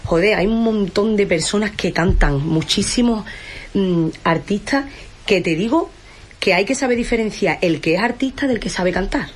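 A woman's voice: mid-range voice, moderate pace, a little hiss or hum. A woman with an Andalusian accent, from Huelva, chatting passionately and emphatically as a guest on a live stream. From Huelva, Andalusia, Spain. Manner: passionate, emphatic, repeats phrases for stress. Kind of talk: casual live-stream chat.

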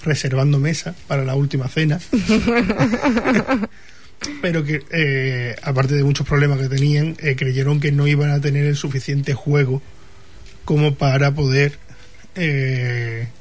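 A man from talking casually about film as a panelist, halting and correcting himself as he goes. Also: medium pitch, little pitch movement, darkish tone, a little hiss or hum. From Spain. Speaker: podcast panelist.

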